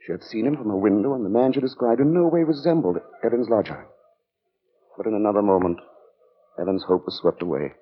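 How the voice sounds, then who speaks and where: A man's voice: lowish voice, lively intonation, brisk, natural voice. A man narrating a suspenseful 1940s radio thriller in a grave, dramatic manner. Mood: measured, tense. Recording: dull, noisy vintage radio recording.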